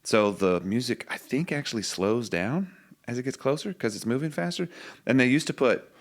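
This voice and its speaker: A singer-songwriter with a low voice, talking thoughtfully as a guest on a podcast, musing through ideas with loose restarts. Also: male voice, animated, normal speed. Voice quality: low singing voice. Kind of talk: reflective podcast conversation.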